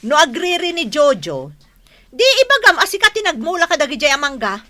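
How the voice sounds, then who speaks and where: Radio drama: a conniving wife, Ilocano accent, bright forceful tone, fairly high. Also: female voice, very animated, brisk, exaggerated voice. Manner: persuasive, conniving. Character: scheming wife egging her husband on.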